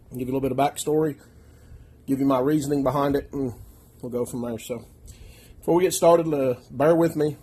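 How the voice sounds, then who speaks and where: Nasal, husky-voiced American outdoorsman. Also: medium pitch, darkish tone. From United States. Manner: plainspoken, folksy, keeps checking in with "okay?". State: getting over a chest infection. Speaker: outdoors channel host. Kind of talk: casual gear walkthrough. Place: living room, indoors.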